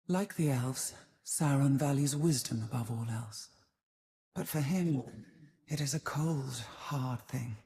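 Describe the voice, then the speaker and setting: An ancient, cold spider seer from a fantasy video game. Her voice is dark, velvety and hushed, often slipping toward a breathy whisper. She takes her time over every phrase, brooding and portentous, with a seductive menace. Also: extremely low pitch, full character voice. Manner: slow, brooding, portentous, measured. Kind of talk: dramatic scripted game monologue.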